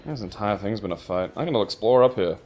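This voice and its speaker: A man's voice: on the low side, lively intonation, fast, darkish tone. A man co-hosting with casual banter and mock story reading, sarcastic and given to swearing. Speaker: co-host of a gaming show.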